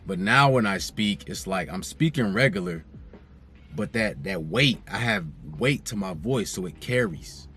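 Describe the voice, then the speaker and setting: A man's voice: low-pitched, animated, a little slow, sharp tone. A young man talking casually to his viewers as a vlogger, laid-back and conversational, repeating phrases for emphasis. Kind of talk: casual direct-to-camera vlog talk.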